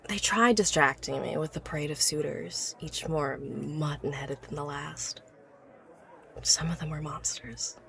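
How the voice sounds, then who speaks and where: A woman's voice: deep voice, fairly even intonation, measured pace, creaky, pushed and bright, full character voice. A woman playing an obsessive, learned inventor who recounts her life's work earnestly and articulately in an audio drama. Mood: wistful, confiding. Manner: articulate, earnest, stammers when awed by her subject.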